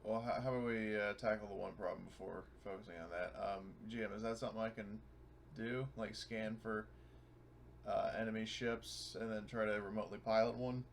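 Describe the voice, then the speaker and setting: A man's voice: fairly low pitch, fairly even intonation, brisk, vocal fry, a little hiss or hum. A man playing a tabletop roleplaying game, chatting casually and hesitantly as he thinks aloud.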